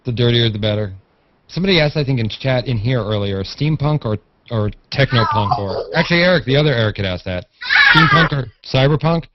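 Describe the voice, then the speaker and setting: A man's voice: medium pitch, expressive, brisk, slight background noise. A man chatting casually in a wry, teasing way as a guest on a group video call. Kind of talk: casual chat. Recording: muffled, lo-fi internet video call.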